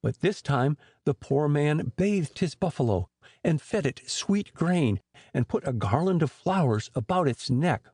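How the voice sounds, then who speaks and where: A man narrates a storybook in a husky, slightly high voice. His delivery is expressive with lively pitch movement, in the manner of a scripted audiobook. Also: measured pace, no echo, studio quality.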